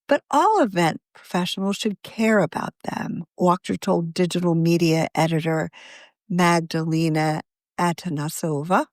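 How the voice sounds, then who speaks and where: A woman's voice: mid-range voice, dry recording. Woman reading an article, dry close sound. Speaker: deputy editor reading her own article. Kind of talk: scripted article narration.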